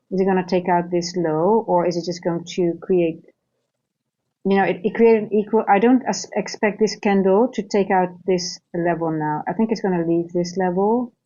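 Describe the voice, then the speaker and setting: Dutch woman streaming trades, warm lowish voice, kinda muffled mic, keeps restarting sentences and asking right?. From Netherlands. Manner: thinks aloud with restarts and tag questions. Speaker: trading coach. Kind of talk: live trading stream commentary.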